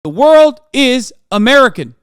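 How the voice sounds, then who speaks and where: Streamer man, 30s, from Turkey, lectures his chat in a swearing rant; higher-pitched, resonant, dry. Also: very animated, unhurried, smooth, no echo. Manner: heated, profane, lecturing rant with emphatic repetition. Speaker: streamer reacting to videos. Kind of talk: livestream reaction commentary.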